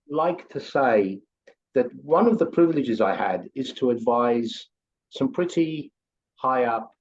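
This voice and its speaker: Older man, English accent, rambling promotional chat, mid-pitched voice, muffled lo-fi video call sound. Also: breathy edge. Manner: chatty and rambling, with big upward swings in pitch. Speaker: course co-instructor. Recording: dull lo-fi call audio.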